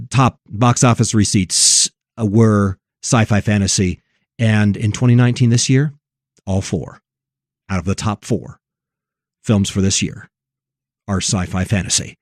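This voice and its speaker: A man's voice: lowish voice, no room sound, studio quality. A man hosting a religious commentary on film and culture, speaking in an emphatic, preachy way and repeating phrases for effect. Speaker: host.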